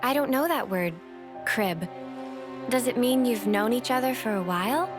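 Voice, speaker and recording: A woman's voice: a little high, normal speed, music in the background, natural voice. An actress playing a modest, eager but unsure young female fighter in a video game, speaking hesitantly and deferentially. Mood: hesitant, apologetic. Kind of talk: video game cutscene acting.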